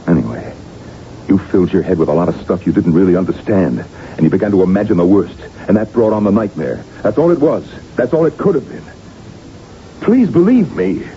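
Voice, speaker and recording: Radio drama: exasperated doctor, emphatic and persuasive, deep-set mellow tone, muffled recording with clear hiss and rumble. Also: male voice, big pitch swings, a little fast. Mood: earnest, lecturing. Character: exasperated, earnest male doctor and loyal old friend. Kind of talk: 1980s radio drama acting. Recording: dull, rumbling, noisy old radio recording.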